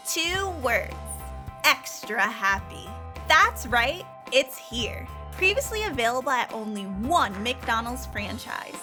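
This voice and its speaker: A peppy female commercial announcer, gushing with salesy cheer. The voice sits high and bounces around dramatically, over a prominent music bed. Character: chirpy female fast-food commercial announcer.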